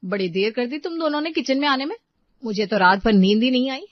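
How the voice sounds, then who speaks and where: Aggrieved young-wife role in a family story woman performer: high, sarcastic, complaining, on a dry, dull recording. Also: animated, fairly quick, dry recording, close to an everyday voice. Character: aggrieved, scolding daughter-in-law quarrelling with her sisters-in-law. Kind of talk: dramatised moral family story.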